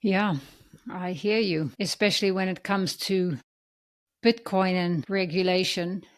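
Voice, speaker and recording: A woman's voice: on the low side, steady tone, unhurried. A woman hosting a podcast, introducing and questioning a guest in a conversational interview style. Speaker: podcast host.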